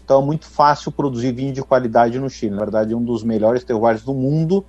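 A middle-aged man from Brazil, a winemaker guest in a radio interview, explaining in a measured way with frequent tag questions. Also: medium pitch, darkish tone, slightly rough, no echo, dull audio.

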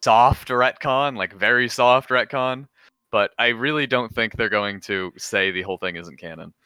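Guy podcast cohost, bright smooth voice, just chatting casually. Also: medium pitch, moderate pace, no echo. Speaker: podcast co-host. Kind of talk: casual panel chat.

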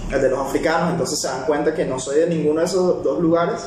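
A man's voice: a little high, fast, very echoey, distant mic, noisy background. A Venezuelan man working as a barista abroad, talking casually and rambling with many fillers and restarts. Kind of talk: casual personal talk.

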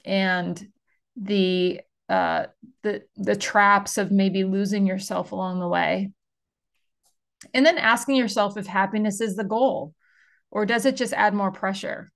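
A woman's voice: mid-range voice, fairly even intonation, measured pace, creaky, a bit bright. A middle-aged woman hosting a podcast interview, chatty and upbeat with frequent fillers. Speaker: podcast host.

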